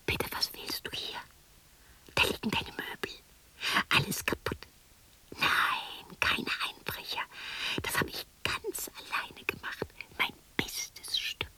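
An actress playing a lonely, eccentric widow of sixty in a radio play, muttering to herself in a dramatic, erratic, defiant way. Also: female voice, slow pace, sharp tone, whispered, rough recording. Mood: bitter, defiant. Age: 60s. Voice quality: breathy, raspy. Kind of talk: radio play monologue acting.

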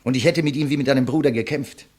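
A man's voice: mid-range voice, steady tone, quick pace, darkish tone, close to an everyday voice. An actor playing a proud, defiant writer facing his executioner, eloquent and sardonic, in the style of a 1950s German radio play. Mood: impassioned, defiant.

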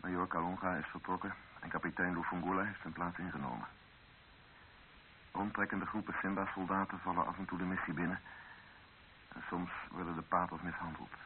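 An actor playing an anxious male journalist who narrates his own ordeal in a measured, literary way in a radio drama. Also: low voice. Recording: dull narrow-band old radio recording with hiss.

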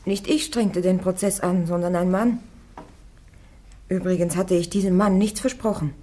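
An actress plays a young woman warrior before her judges, devout and unbending, her low voice mellow and dark. She answers each question firm and calm, a radio play heroine who will not give way. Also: moderate pace, lightly acted. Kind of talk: radio drama acting.

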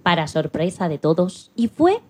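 Very animated woman storyteller. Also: fairly low pitch, normal speed, very noisy. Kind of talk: dramatised children's story narration.

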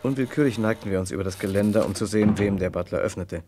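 A man's voice: fairly low pitch, fast talker, mellow, a little hiss or hum, close to an everyday voice. A man playing an earnest, inquisitive lawyer in an old German radio crime play, with a precise, formal delivery. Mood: measured narration. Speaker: narrator and lead character. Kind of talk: German radio crime play acting from the mid-20th century.

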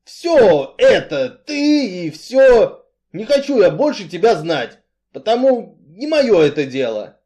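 A man voicing a brooding, weary strongman who speaks bluntly and emphatically in halting, colloquial phrases. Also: high voice, very animated, warm tone, voice pushed up, full character voice. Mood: blunt, emphatic.

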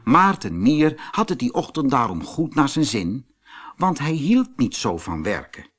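A man narrating a children's fairy tale audiobook in an expressive storytelling manner. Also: mid-range voice, measured pace.

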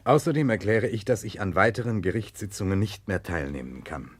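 An actor playing an earnest, remorseful Russian prince in a 1960s German radio drama, speaking gravely and deliberately. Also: male voice, on the low side, animated, no echo. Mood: resolute. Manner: grave, deliberate, insistent confession.

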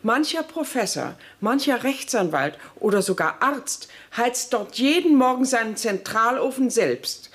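An actress playing a prim bourgeois lady giving a formal, measured lecture in a radio play. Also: on the high side, expressive. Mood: prim, lecturing.

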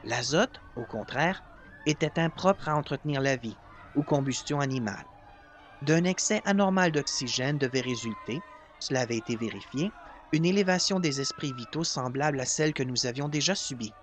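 A man's voice: mid-range voice, lively intonation, normal speed. A man narrating as an audiobook reader, in a formal literary style.

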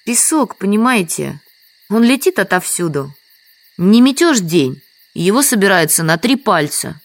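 An actress playing a meek, apologetic and quietly stubborn village hostess in an audio drama. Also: female voice, medium pitch, very animated, measured pace, no room sound. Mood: patient explaining. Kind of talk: Russian audio drama dialogue.

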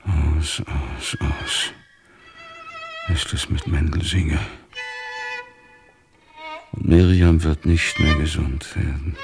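An actor playing an embittered, grieving old father in a radio play, with a rough, husky voice and a brooding, defiant, anguished delivery. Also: rumbling low, steady tone, slow pace, long pauses, over music, exaggerated voice. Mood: desolate lament. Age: older. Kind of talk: dramatic radio play acting.

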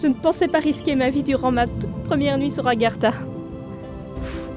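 A woman playing a novice ranger who tells her own adventure in a fantasy audio drama, measured and a little wry. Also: high voice, over music. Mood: wry, curious. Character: young female ranger, novice gamer, wry and curious narrator. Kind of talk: first-person audio drama narration.

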